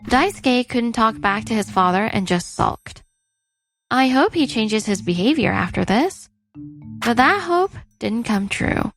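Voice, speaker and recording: A woman's voice: a little high, animated, a little slow, a bit bright, soft background music. A woman acting and narrating as a weary but outspoken wife in her thirties in a dubbed story. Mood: troubled. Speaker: narrator and lead character.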